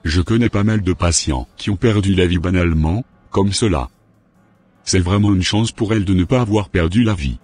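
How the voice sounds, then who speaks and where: A man voicing a matter-of-fact hospital doctor in a cartoon, with a husky voice and measured delivery. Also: low-pitched, darkish tone. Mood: calm, frank. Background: faint music.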